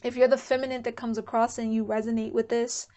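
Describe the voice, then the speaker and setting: A woman's voice: on the high side, little pitch movement, fast talker, mellow, highs cut off. A woman giving a casual pick-a-card tarot reading to camera, chatty and candid, thinking aloud as she goes. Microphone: camera mic.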